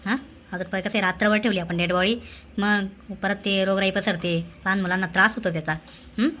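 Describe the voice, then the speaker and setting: A woman's voice: mid-range voice, steady tone, brisk, a bit bright, slight background noise, close to an everyday voice. A woman voicing a meddling, practical village man in a comic cartoon, coaxing and insistent.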